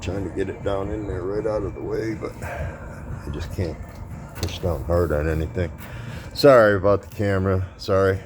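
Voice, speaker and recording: A man with a deep voice chatting casually to viewers as he works on his car, rambling and often apologizing about the camera. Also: moderate pace, noisy background. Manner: rambling, apologetic, talks to viewers while working. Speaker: vlogger working on his car. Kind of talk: casual DIY repair vlog. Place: garage or driveway, working on an engine. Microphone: phone camera set near him.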